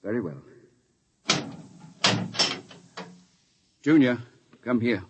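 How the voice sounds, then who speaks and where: An actor playing an older, earnest and guarded scientist professor in a 1950s radio drama, formal and precise. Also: male voice, mid-range voice, expressive, fairly quick, highs cut off, background noise, close to an everyday voice. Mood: reluctant.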